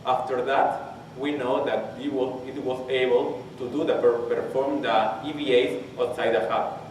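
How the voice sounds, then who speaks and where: A man in his twenties from Peru with a Spanish accent, his first language, giving a technical conference talk and haltingly restarting phrases as he searches for English words. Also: medium pitch, steady tone. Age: 20s. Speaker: conference presenter. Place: large conference hall. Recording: very echoey hall, distant mic, faint hiss.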